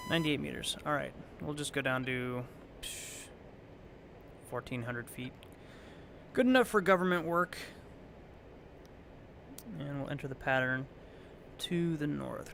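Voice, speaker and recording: A hoarse, raspy middle-aged man calmly talking himself through a flight simulator game, thinking aloud as he flies. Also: mid-range voice, a little hiss or hum. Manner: relaxed, thinking aloud while flying. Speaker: flight sim streamer. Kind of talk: casual gameplay commentary.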